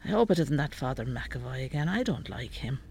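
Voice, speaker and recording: A woman playing an elderly, nagging, devout Irish mother in a radio drama, sharp-tongued and scolding. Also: very deep voice, expressive, a little fast, a little hiss or hum. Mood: grumbling. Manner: scolding, bossy. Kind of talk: naturalistic radio drama acting.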